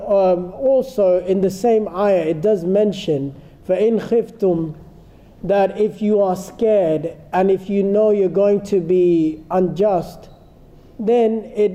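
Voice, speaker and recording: A man giving an earnest religious lecture to a congregation, explaining points step by step and dropping in Arabic phrases. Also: high-pitched. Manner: calls himself soft-spoken but firm today. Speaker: Islamic teacher.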